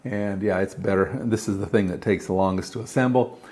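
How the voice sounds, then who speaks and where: A man's voice: on the low side, brisk, darkish tone. A man talking casually through a hands-on DIY tutorial in a plain-spoken, step-by-step way. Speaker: host of DIY electronics build videos.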